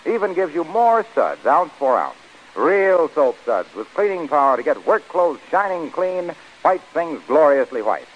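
A man's voice: on the high side, sing-song, a little slow. 1940s commercial announcer, American man, salesmanlike, swinging intonation, muffled vintage radio sound. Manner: folksy, salesmanlike.

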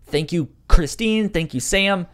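A man's voice: sits high, unhurried, fairly bright tone. A man chatting casually as the host of a podcast.

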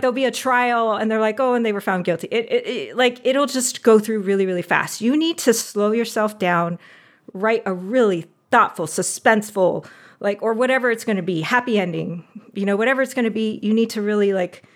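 A woman in casual conversation, animated and talkative with frequent laughs. Her voice sits in an ordinary middle pitch. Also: brisk. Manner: animated, chatty, laughs often. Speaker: podcast guest, audio drama producer. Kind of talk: casual interview chat.

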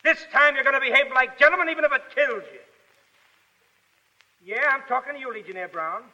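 A man's voice: very high voice, fairly quick, exaggerated voice. A man playing a tough, sarcastic Foreign Legion sergeant barking orders in a 1930s radio drama. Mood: stern, sarcastic. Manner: gruff, shouted military commands. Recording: dull vintage 1930s radio recording.

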